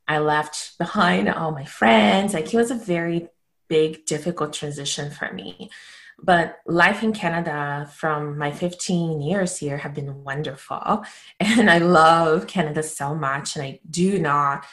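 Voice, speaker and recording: Casting: female interview guest from Brazil, 30s, native Portuguese, low register, candid conversational storyteller. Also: measured pace, fairly bright tone. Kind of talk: casual personal storytelling.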